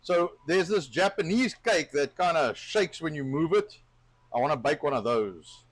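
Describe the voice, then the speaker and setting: Animated man, joking co-host baking live. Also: fairly high pitch, moderate pace, no echo. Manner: lively, joking, talks while working. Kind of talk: casual livestream chat while baking.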